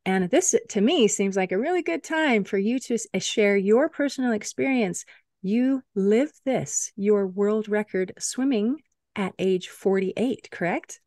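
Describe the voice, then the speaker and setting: A woman's voice: medium pitch, a little slow, a little mellow, dry recording. Enthusiastic woman host, dry sound. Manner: enthusiastic, affirming. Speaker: podcast host. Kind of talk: casual interview talk.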